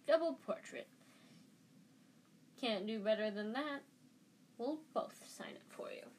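A woman's voice: a little high, expressive, takes it slowly, slight background noise. A woman voicing a jovial, self-important adult male wizard in a storybook, hearty and pompous.

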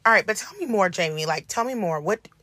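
A hoarse woman co-hosting a casual podcast, talking earnestly and encouragingly with drawn-out emphasis. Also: medium pitch, expressive, bright, forceful tone. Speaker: podcast co-host.

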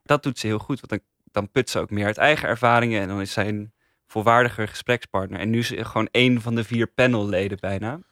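A man's voice: fairly low pitch, fairly even intonation, fast, a bit bright, no room sound, clean studio sound. A man giving his opinions casually as a panelist in a podcast discussion.

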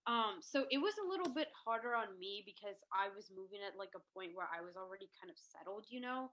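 A young woman from Texas co-hosting a podcast, speaking softly and hesitantly with frequent pauses and "um"s. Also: medium pitch, expressive. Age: young adult. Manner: hesitant, soft-spoken, frequent "um". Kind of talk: casual podcast discussion. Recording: dull lo-fi mic.

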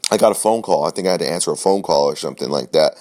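A young man with a very low voice hosts his podcast solo, chatting loosely and rambling. His throat is sore, so his voice comes out scratchy. He swears freely and keeps restarting his sentences with fillers. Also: racing, darkish tone. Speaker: podcast host. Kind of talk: casual solo podcast chat.

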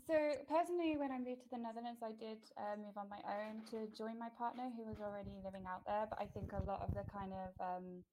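A woman from the United Kingdom talks casually on a webinar, loose and chatty, filling gaps with um and like. Her pitch sits in an ordinary middle range for a woman. She is heard through a video call mic. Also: background noise. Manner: chatty, many "um"s and "like"s. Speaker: managing editor, panelist.

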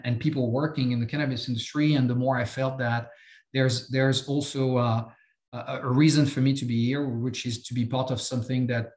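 A man in his fifties from France with a French accent, speaking as a company chief executive guest in a podcast interview, halting with many repeated words and fillers. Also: mid-range voice, roomy sound.

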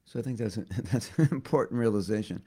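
A hoarse man giving a devotional class, talking haltingly and often repeating words as he thinks. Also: fairly low pitch, mellow. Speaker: spiritual teacher.

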